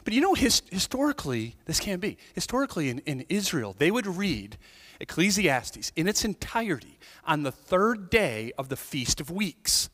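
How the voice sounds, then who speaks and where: Animated pastor with a high, lively voice. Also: male voice, normal speed, big-room sound. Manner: animated, conversational, keeps asking "right?". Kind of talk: sermon. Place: church sanctuary.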